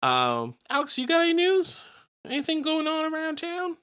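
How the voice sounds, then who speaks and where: A man's voice: very high-pitched, huge pitch swings, moderate pace, pushed and bright. A man co-hosting a community radio show with casual banter. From Canada.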